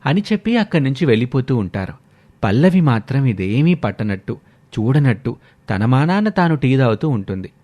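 A man's voice: mid-range voice, sing-song, moderate pace, mellow. A man narrating a scripted family story as a storyteller.

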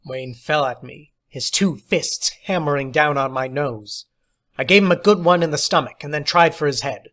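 A man's voice: on the high side, normal speed, fairly bright tone. A man reading a short story aloud as scripted audiobook narration. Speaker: audiobook reader.

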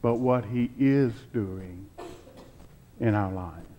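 Slow-paced older American preacher, a man. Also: fairly low pitch, highs cut off, a little hiss or hum. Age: 60s. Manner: deliberate, with short phrases and frequent pauses. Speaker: pastor. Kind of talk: sermon. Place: church sanctuary, at a pulpit before a choir.

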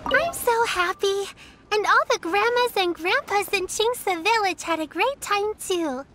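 A woman's voice: extremely high pitch, lively intonation, measured pace, heavily acted. An actress voicing a cheerful, eager little girl who chatters earnestly in game dialogue. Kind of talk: video game character dialogue. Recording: game audio with soft music behind.

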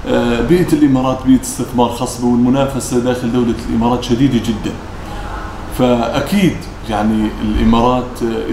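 A man's voice: mid-range voice, warm tone. A man with a Levantine Arabic accent hosting a business interview, polite and effusive with his guest. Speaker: interviewer, show host. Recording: roomy, echoing, clearly noisy background.

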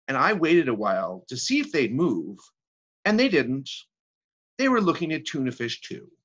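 Man performing a frazzled woman in her thirties, indignant, self-pitying, bright edgy tone, animated swooping pitch, theatrical monologue. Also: muffled recording, exaggerated voice. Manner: exasperated, rambling, emphatic. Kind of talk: theatrical comic monologue reading.

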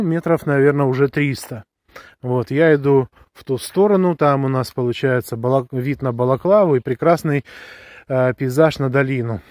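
Guy vlogging on a walk outside, mid pitch, hesitant chatty explaining, dry sound. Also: a little mellow, dry recording. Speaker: vlogger reviewing a gadget. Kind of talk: casual walking vlog, talking to viewers. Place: outdoors on a woodland path, walking. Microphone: handheld camera or phone held close while walking.

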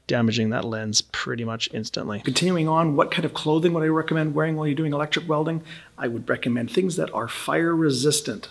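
A man's voice: medium pitch, creaky, a bit bright, slightly rough. A man in his forties, a welding instructor, explaining safety gear in a plain, practical, folksy way in a large indoor shop. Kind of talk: instructional video.

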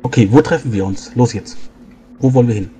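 A man's voice: mid-range voice, fast, warm tone, slight background noise. A man playing a tabletop role-playing game, chatting casually about his moves and rolls.

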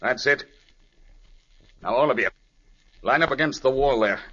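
A man's voice: mid-range voice, normal speed, slightly rough. An actor playing a menacing masked gunman giving commands in a 1940s radio drama. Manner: forceful, commanding. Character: menacing masked holdup man. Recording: muffled 1940s radio recording, voices behind.

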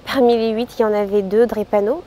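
A woman's voice: mid-range voice, steady tone, a little fast, dark tone. A young adult woman from Cameroon, giving a personal testimony outdoors in a park, with a hesitant way of talking and many fillers. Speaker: patient interviewee.

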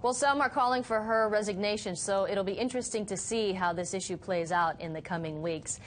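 A woman anchors a live morning newscast from a TV studio, speaking into a lapel mic. Her delivery is crisp and polished, with a middle pitch and smooth transitions between stories. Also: fairly quick, slight background noise. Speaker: news anchor. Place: TV news studio.

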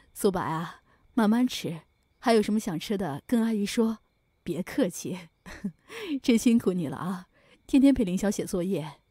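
A woman's voice: mid-range voice, lively intonation, a little slow, long pauses, mellow, breathy edge, no echo, studio quality. A woman acting a middle-aged mother in an audio drama, fussy and motherly, by turns doting and scolding. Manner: fussing, motherly.

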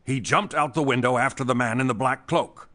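An actor playing a frightened, defensive company boss in a pulp thriller audio drama, with a nervous, hesitant manner. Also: male voice, mid-range voice, a little fast, a bit bright, close to an everyday voice. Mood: uncertain. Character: frightened, shaken male chemical company boss under police questioning.

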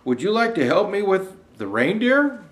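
An older man voicing a kindly, gentle old male elf in a storybook, friendly and welcoming. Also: sits high, very animated, normal speed.

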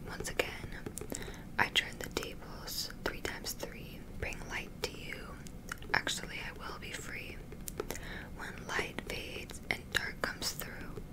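A young woman whispering an ASMR roleplay as a witch teacher, gently encouraging and instructional, close to the microphone. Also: low-quality recording. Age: young adult. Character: friendly witch teaching spells and potions to a beginner.

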